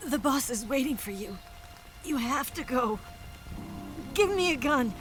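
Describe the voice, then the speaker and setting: An actress playing a wounded young woman spy in a video game, proud and teasing, with a breathy, strained delivery. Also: high voice, expressive, unhurried, fairly bright tone, faint music behind. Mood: pained, resigned. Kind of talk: cinematic video game voice acting.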